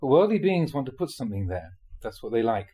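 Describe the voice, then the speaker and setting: A male reader delivers a spiritual teaching in an explanatory, measured manner. His mid-pitched voice has a husky grain and moves expressively up and down as he sets out questions and explanations. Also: big pitch swings, mellow. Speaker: monk reading dharma talks aloud. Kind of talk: reading a spiritual talk aloud.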